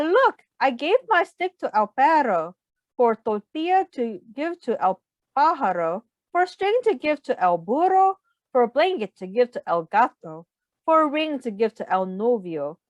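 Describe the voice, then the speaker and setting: Storybook boy, earnest, questioning, high-pitched and unhurried female reader. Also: very animated, no room sound, lo-fi sound. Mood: excited, proud. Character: young boy, eager but easily disappointed.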